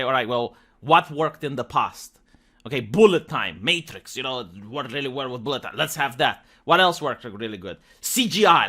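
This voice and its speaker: Bright, higher-pitched man giving opinionated commentary. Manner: emphatic, critical, sarcastic. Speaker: video commentator. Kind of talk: opinionated video commentary, conversational.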